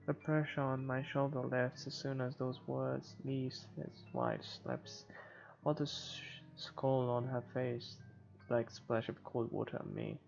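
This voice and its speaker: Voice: medium pitch, expressive, measured pace, creaky, a little mellow. A person reading visual novel narration aloud for a game playthrough, with a muffled recording and game music behind. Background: game background music.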